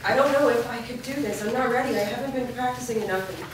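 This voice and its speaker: A woman acts an anxious young woman full of self-doubt in a staged drama reading. Her speech is fast and fairly high, heard from afar in a very echoey room. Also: lightly acted. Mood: anxious, tender. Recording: distant, very echoey, noisy room recording.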